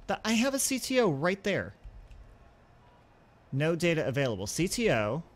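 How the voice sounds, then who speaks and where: A man's voice: a little high, huge pitch swings, moderate pace. A man gives casual let's-play commentary as a gaming host, thinking aloud and talking through each step.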